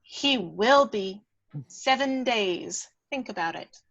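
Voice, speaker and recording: Woman playing a feisty, high-pitched little fairy. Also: animated, takes it slowly, a bit bright, dull audio, full character voice. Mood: urgent persuasion. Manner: emphatic, goading, theatrical. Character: feisty, bold little female fairy, scolding and persuasive. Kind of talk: dramatic script read-through.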